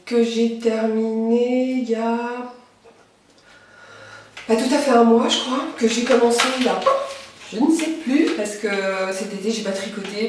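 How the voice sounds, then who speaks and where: A woman's voice: on the high side, darkish tone, recorded from a distance, a little hiss or hum. A young woman from France with a cold, chatting casually and hesitantly about her knitting and sewing, with many fillers. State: has a cold. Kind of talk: casual chat. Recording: very echoey room, voice from afar.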